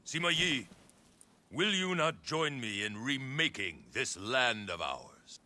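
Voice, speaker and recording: A man's voice: mid-range voice, sing-song, slow, sharp tone, full character voice. An actor playing an ambitious male warlord in a video game cutscene, speaking with authority and probing persuasion. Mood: commanding.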